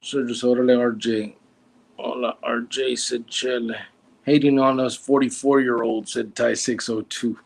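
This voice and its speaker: A man's voice: mid-range voice, level tone, normal speed, vocal fry, low-quality recording. A man, hosting a casual livestream, joking and teasing while reading out viewer comments. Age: 40s. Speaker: livestream host.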